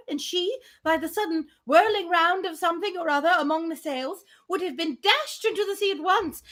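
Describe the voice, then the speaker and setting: Woman acting a high, swooping, chattering spinster gossip. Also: a bit bright. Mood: fond, chattering. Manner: breathless, rambling, effusive. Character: chattering, gushing, good-natured spinster gossip. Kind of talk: classic novel read aloud in character.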